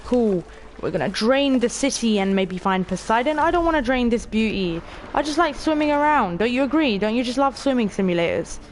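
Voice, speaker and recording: A woman in her late teens with a stuffy nose, casually narrating a game stream, chatty and excitable, swearing offhand and talking to her viewers. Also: on the high side, fairly quick, few pauses, slight background noise. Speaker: gaming streamer. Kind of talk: casual let's-play commentary.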